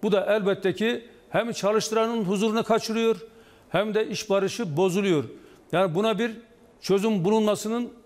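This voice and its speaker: Man in his 60s, high voice, deliberate halting phrasing, TV interview guest, long reverb. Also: fairly even intonation, darkish tone. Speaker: trade union official, interview guest. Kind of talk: live TV news interview, explaining. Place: indoor office or studio, video link to TV broadcast. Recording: long reverberant hall echo.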